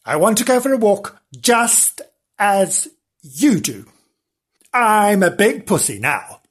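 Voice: mid-range voice, very animated, slow, pitched above the performer's own voice. A performer voicing a naughty little boy kitten from a children's storybook, boastful but easily frightened, in plaintive exclamations. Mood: defiant.

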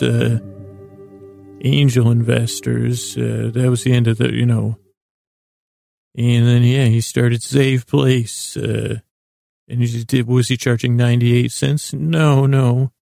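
A man with a gravelly voice hosting a bedtime podcast in a halting, stammering, meandering monologue. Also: mid-range voice, flat delivery, mellow, no echo, faint music behind. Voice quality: gravelly, creaky voice. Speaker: podcast host.

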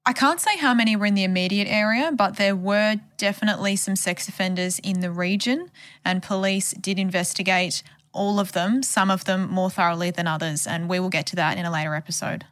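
A woman's voice: medium pitch, fairly even intonation, fairly quick, a bit bright, dry recording. An Australian woman explaining a case as a journalist in a conversational true crime podcast style.

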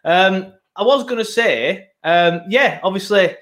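Chatty podcast host, higher voice. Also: male voice, measured pace, fairly bright tone. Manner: chatty, rambling with many ums. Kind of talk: casual football podcast chat. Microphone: laptop setup, video podcast.

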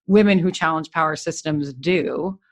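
Low-voiced woman interview host. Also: normal speed. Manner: probing, affirming questions. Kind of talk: interview hosting.

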